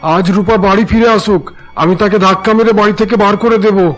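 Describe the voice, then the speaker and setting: Man acting a high-pitched, angry cartoon father. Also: fairly even intonation, a little fast, soft background music, close to an everyday voice. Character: stern, angry middle-aged father, unforgiving.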